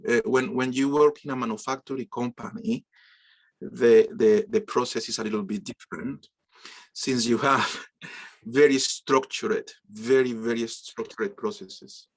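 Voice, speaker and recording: A man's voice: mid-range voice, steady tone, moderate pace, fairly bright tone. A man teaching an online business course over a video call, often stumbling and repeating words as he responds to students. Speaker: course instructor. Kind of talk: online class introductions.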